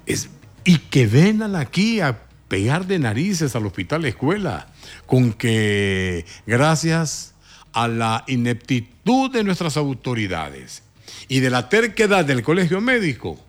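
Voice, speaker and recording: A man hosting a morning radio talk show, commenting with indignant emphasis and sudden rises in pitch. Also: sing-song, takes it slowly.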